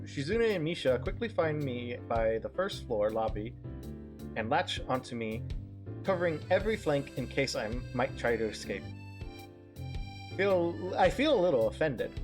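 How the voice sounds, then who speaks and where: A man reading a visual novel aloud on a stream, narrating haltingly and often repeating words as he goes. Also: mid-range voice, big pitch swings. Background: music.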